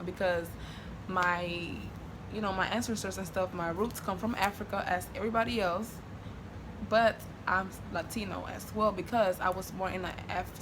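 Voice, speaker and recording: A woman's voice: mid-range voice, noisy background. A young woman from Honduras with a Spanish accent, chatting casually to her camera with lots of fillers and restarts. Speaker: vlogger.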